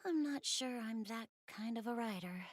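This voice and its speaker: A woman's voice: fairly high pitch, lively intonation, moderate pace, no room sound, studio quality. A woman voicing a shy, bookish young goddess in a video game, speaking hesitantly and earnestly. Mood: dejected, pensive. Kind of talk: video game character voice acting, intimate one-to-one dialogue.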